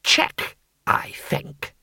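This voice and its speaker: A man voicing a cold, cruel, scheming male imperial lord in an audiobook, with a slow, haughty drawl. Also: very high-pitched, big pitch swings, creaky, bright, forceful tone, no echo, studio quality, voice pushed up, exaggerated voice. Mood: menacing. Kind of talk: audiobook character voice.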